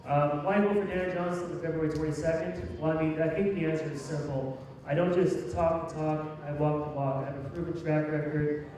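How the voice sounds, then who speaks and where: Higher-pitched mellow man, very echoey hall. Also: fairly even intonation, brisk. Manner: folksy, emphatic, plain-spoken. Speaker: city council candidate. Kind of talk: campaign closing speech at a public debate. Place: large hall, public debate. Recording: echoey hall, distant mic, faint noise.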